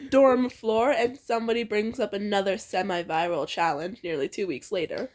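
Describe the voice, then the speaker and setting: A woman's voice: mid-range voice, moderate pace, a little mellow. A young woman reading fan fiction aloud informally, playful and giggly, slipping into casual banter.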